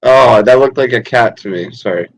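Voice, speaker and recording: A man's voice: medium pitch, normal speed, gravelly, rough recording. A man in a casual online voice chat who makes dry, joking asides. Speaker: chat participant. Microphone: online voice call.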